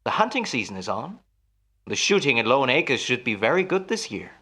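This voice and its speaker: A smooth radio-melodrama husband played by a male actor, with a very lively, swooping delivery. He soothes and coaxes in a patronizing way, with quiet menace under the concern. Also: medium pitch, normal speed, heavily acted. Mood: coaxing, quietly menacing. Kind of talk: old-time radio melodrama acting.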